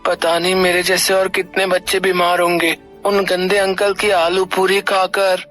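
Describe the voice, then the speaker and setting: Woman as little boy, innocent, childlike, cartoon story, soft music behind. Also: fairly low pitch, completely flat delivery, unhurried. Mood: plaintive. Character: innocent, hungry little boy, son of a poor mother. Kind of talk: cartoon moral story acting.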